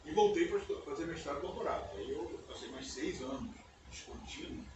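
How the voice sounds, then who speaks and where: A man's voice: fairly high pitch, big pitch swings, brisk. Older guy, english is his first language, chatty lecture, sounds far off in a big echoey hall with noise behind. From United States. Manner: conversational, often ends phrases with "né?". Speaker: guest lecturer. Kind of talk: conference lecture. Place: university auditorium. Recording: echoey auditorium, distant mic, background noise.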